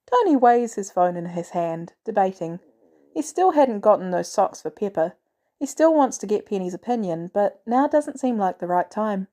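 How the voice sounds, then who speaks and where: A woman's voice: mid-range voice, sing-song, moderate pace, dark tone. A woman reading a scripted story aloud as a narrator. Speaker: fan fiction podfic reader.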